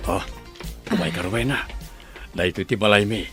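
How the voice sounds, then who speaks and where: A man playing an earnest, gentle father in an Ilocano audio drama, speaking with an Ilocano accent. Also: low voice, normal speed, music in the background, natural voice. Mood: warm, reassuring. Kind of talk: radio drama acting.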